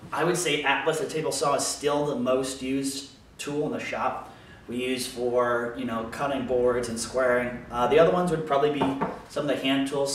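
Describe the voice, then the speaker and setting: An American man in his thirties talking casually to camera, explaining things as a woodworker answering questions in his small workshop. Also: medium pitch. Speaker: woodworker hosting a shop Q&A video. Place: small home workshop, indoors. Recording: very echoey room, distant microphone.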